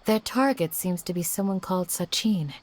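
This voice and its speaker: A woman's voice: fairly low pitch, animated, normal speed. A woman playing a composed, courteous female guardian in an anime game, speaking formally and politely. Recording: faint music behind the voice.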